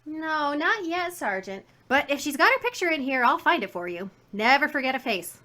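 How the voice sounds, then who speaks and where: A woman's voice: high voice, big pitch swings, moderate pace, bright, forceful tone, heavily acted. An actress playing a chatty, indignant, folksy woman shopkeeper in a 1950s radio drama. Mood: emphatic. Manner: folksy, garrulous, emphatic.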